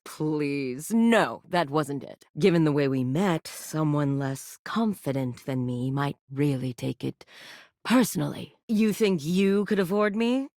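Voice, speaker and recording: A brazen, flirtatious living sex toy from a dating game, played by a woman, purring each line in a low, unhurried drawl. The voice rolls up and down with lively swoops and sits close and dry, with no room around it. It teases and seduces with total self-assurance. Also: dry recording, full character voice. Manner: sultry, teasing, suggestive drawl. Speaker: voice actor. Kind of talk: video game character voice lines. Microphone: close studio mic.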